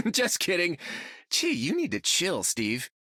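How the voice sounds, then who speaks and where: A man's voice: sits high, wildly swooping pitch, slow, sharp tone, no room sound, clean studio sound, full character voice. A man voicing a brainy, wry, slightly anxious scientist in a video game. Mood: wry, exasperated. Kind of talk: video game character dialogue.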